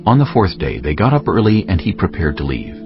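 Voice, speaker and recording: Deep, gravelly male scripture narrator. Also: very animated, brisk. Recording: muffled audio with prominent music underneath. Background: music.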